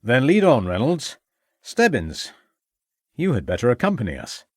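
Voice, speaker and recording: A man reading the part of a Victorian police inspector in a storybook, brisk, formal and commanding. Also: mid-range voice, huge pitch swings, darkish tone, no echo, clean studio sound. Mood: brisk, impatient.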